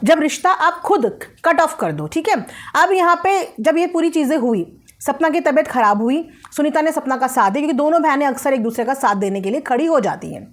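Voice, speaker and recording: A woman's voice: sits high, lively intonation, brisk. A woman hosts a commentary video. She speaks emphatically and with firm opinions, often repeating a phrase for weight and dropping English words into her talk. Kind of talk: opinionated commentary on social media family drama.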